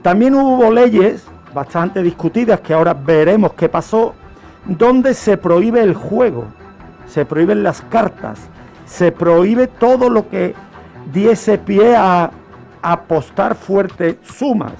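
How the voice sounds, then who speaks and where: A man with a Spanish accent from Spain, telling history on the radio in an explanatory way with deliberate pauses. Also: high-pitched, expressive, darkish tone, faint music behind. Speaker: radio show host. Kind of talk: history storytelling, semi-improvised radio talk.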